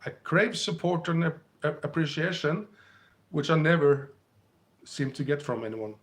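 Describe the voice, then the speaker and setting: A man with a Norwegian accent hosting a live spiritual stream on his phone, gently reading comments and passages aloud and chuckling often. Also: a little high, animated, moderate pace.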